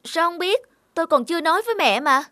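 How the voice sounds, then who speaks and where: A woman voicing a proud, hurt young adult daughter in an animated drama, emotional and insistent. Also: extremely high pitch, fast, no echo, clean studio sound, exaggerated voice. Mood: cold, indignant.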